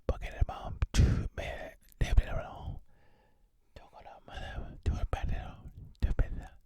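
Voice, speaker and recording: Asmr whisperer, all breathy unvoiced nonsense syllables and mouth sounds. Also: normal speed, warm tone. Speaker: ASMR channel host. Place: inside a car.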